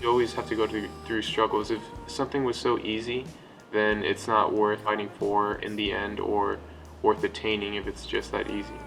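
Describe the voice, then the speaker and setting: A man in his twenties being interviewed, hesitant and soft-spoken, often hedging with "I guess". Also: lowish voice, flat delivery, moderate pace, gravelly, faint music behind. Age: 20s. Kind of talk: documentary interview.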